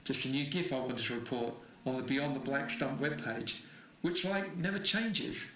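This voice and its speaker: A man playing a laid-back, blunt acquaintance, casual and deadpan, in audio drama dialogue. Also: medium pitch, moderate pace, echoey room, a little hiss or hum, close to an everyday voice, low-quality recording. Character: laid-back, blunt male acquaintance reporting website changes.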